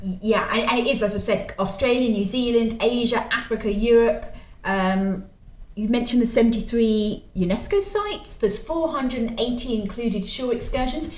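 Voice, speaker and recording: A woman's voice: on the high side, moderate pace. A woman with a Scottish accent hosting a podcast, chatty and teasing as she leads the questions. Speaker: podcast host, editor. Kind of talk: casual podcast chat. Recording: roomy sound with faint ambience.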